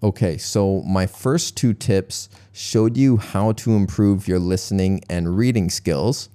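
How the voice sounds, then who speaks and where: Young male English tutor, unhurried and mellow, relaxed step-by-step explainer. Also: deep voice. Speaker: English teacher. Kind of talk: casual tutorial for learners.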